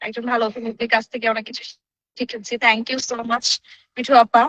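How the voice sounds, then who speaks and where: High, bright-voiced Bangladeshi woman. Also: level tone, a little fast, no echo, lo-fi sound. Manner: effusive, appreciative. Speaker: actress guest. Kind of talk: casual heartfelt tribute on a group chat.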